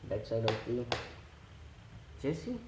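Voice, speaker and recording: Non-native english guy doing a repair how-to, halting step by step, pitch moves around a lot, roomy sound with background noise. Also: big pitch swings. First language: not English. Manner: halting, simple step-by-step phrasing. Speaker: repair tutorial host. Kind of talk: hands-on instructional demonstration. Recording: echoey room with clear background noise.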